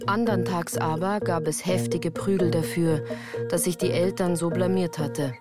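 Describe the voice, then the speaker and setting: An earnest storyteller, played by a woman looks back on her girlhood in a radio play. Her voice sits slightly low, with composed, measured literary phrasing, and music plays clearly behind her. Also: fairly even intonation, close to an everyday voice. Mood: earnest, reflective.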